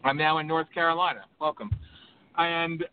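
A fired-up male host holds forth over a call-in show, his fairly high voice squeezed into thin phone-line sound. Faint hum sits underneath as he rambles and hammers his points home. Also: steady tone, normal speed. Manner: impassioned, rambling, emphatic. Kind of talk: call-in radio talk.

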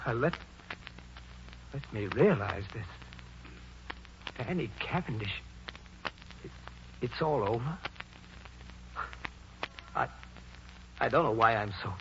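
An actor in a 1940s radio play as an excitable, sentimental American theatrical producer. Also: male voice, mid-range voice, takes it slowly, pauses often. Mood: stunned, wistful. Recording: muffled, hissy old radio broadcast.

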